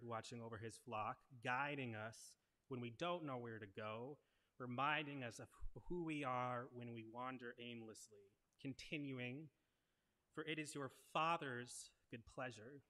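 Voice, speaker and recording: A man's voice: medium pitch, pushed and bright. A young man giving an earnest, conversational sermon at a lectern, speaking into a headset mic. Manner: earnest, conversational preaching with rhetorical questions. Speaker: preacher. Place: wood-paneled church sanctuary at a lectern. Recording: long church reverb.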